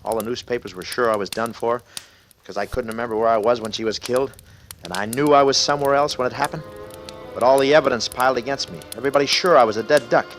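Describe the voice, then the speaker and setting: An actor playing a brooding, guilty and slightly unhinged man in a halting, dramatic 1940s radio style. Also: mid-range voice, fairly bright tone, soft background music, lightly acted. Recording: noisy old radio recording.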